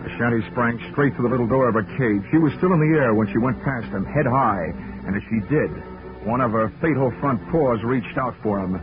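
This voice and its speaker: A man's voice: mid-range voice, fairly quick, lightly acted. Man playing a dry wisecracking investigator, narrating over music, tinny 1940s radio. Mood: wry. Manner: dry, wry and hard-boiled. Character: wisecracking male insurance investigator.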